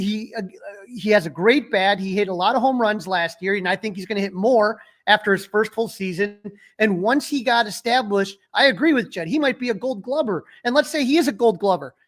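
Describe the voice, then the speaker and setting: A man with a notably high-pitched voice talks sports as a podcast co-host. His delivery is casual and conversational, and he states his opinions with emphasis. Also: a little fast, a bit bright. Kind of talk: casual sports talk and analysis.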